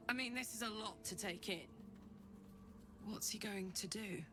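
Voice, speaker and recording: A woman playing a blunt, excitable, informal adventurer in a video game. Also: mid-range voice, takes it slowly, background noise, close to an everyday voice. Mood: surprised, puzzled. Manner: informal, exclamatory, swearing. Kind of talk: video game character acting. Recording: loud music behind the voice.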